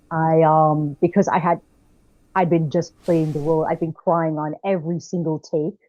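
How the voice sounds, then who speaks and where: Brief: woman from Jamaica, earnest guest storyteller, lowish voice, dull dry sound. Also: a little mellow, no echo. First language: not French. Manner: earnest, laughs between phrases. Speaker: guest filmmaker. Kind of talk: interview storytelling.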